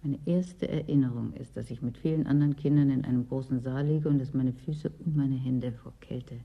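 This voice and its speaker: A woman's voice: rumbling low, fairly even intonation, a little fast, vocal fry, lo-fi sound, exaggerated voice. An actress in a German radio play, playing a weary, plaintive woman who is always cold and broods on her memories. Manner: brooding, plaintive, lapsing into reminiscence. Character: weary, always-freezing woman property owner, plaintive and wistful.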